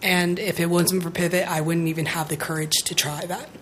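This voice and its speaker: A woman in her twenties, hoarse from losing her voice, giving an earnest public comment at a school board meeting. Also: lowish voice, little pitch movement, brisk, fairly bright tone, slight background noise. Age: 20s.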